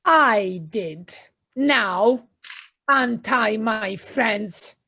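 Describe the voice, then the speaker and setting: A woman's voice: fairly high pitch, drawn-out words, sharp tone, exaggerated voice. A woman playing an earnest, brave little mole in an audio play, sincere and plaintive. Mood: defiant.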